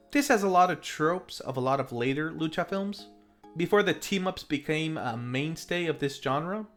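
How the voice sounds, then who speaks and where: A man hosting a film review, narrating a scripted recap in a playful, tongue-in-cheek way with theatrical asides. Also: fairly high pitch. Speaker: film review show host. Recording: faint music under the voice. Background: faint background music.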